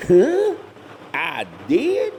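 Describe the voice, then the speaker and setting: A cantankerous hillbilly moonshiner with a very high, swooping voice. He blusters and bosses in a drawl, folksy and full of insults. It is a comedy audio play with faint noise behind. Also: wildly swooping pitch, very slow, a little mellow, exaggerated voice. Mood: bossy, irritated. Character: bossy, cantankerous hillbilly moonshiner man, older brother. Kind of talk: comedy audio drama acting.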